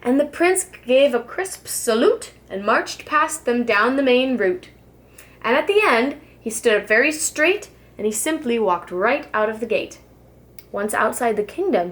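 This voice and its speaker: A woman reading a rhyming children's story aloud like a preschool teacher, with expressive, deliberate phrasing. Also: fairly high pitch.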